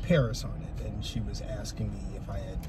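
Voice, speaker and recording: A man's voice: lowish voice, a little fast. A man in his forties from Georgia, telling stories in a casual chat and acting out what other people said, recorded inside a car. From Georgia, USA. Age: 40s. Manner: animated storyteller, acts out what others said. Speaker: comedian guest. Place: in a car on a city street. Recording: loud traffic noise inside a car.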